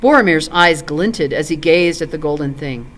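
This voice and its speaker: A woman reads a fantasy novel aloud as a volunteer narrator. Her storytelling is expressive, with a lively range of pitch. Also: moderate pace, slight background noise. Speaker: volunteer reader.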